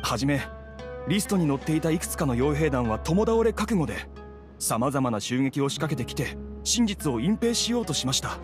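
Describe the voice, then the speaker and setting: A man's voice: fairly high pitch, very animated, moderate pace. Young male officer, polite, earnest, orderly, anime game dialogue, background music. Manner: courteous, formal, orderly. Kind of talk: Japanese anime game voice acting.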